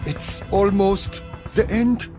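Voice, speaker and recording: An actor playing an exhausted, despairing German military officer in a radio drama, grave and fatalistic. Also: male voice, high-pitched, fairly even intonation, slow pace, music in the background. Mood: weary, despairing.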